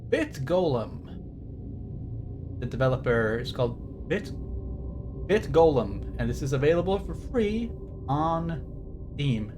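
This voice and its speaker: A man's voice: fairly high pitch, very animated, slow, long pauses. A man streaming a game with casual, rambling commentary, wry and casually profane. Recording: stream with game music behind.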